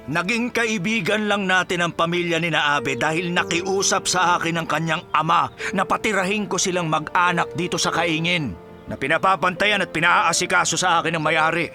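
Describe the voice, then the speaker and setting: A man acts out a middle-aged Filipino farmer father for radio drama, his voice pitched high over background music. He is stern and resentful, scolding with heavy emphasis. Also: fairly even intonation, normal speed. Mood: bitter.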